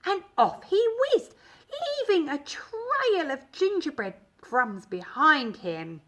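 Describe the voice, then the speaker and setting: High-pitched, animated woman storyteller. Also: slow. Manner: dramatic, emphatic. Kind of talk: expressive children's storybook reading.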